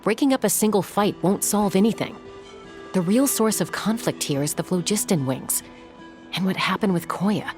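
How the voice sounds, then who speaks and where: An actress playing a calm, reflective young woman warrior in a video game, talking in a soft, measured way. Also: medium pitch, over music, natural voice.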